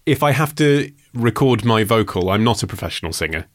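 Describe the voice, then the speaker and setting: A man's voice: lowish voice, lively intonation, a little fast, fairly bright tone. A British man hosting a podcast in casual banter, with a dry, teasing manner. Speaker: podcast host.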